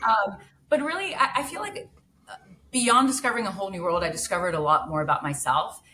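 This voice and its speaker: A woman's voice: medium pitch, expressive, a little fast, bright, forceful tone, slight background noise. A woman from Mexico, a brand founder, speaking as a guest in an interview, earnest and reflective with frequent restarts. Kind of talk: casual interview chat.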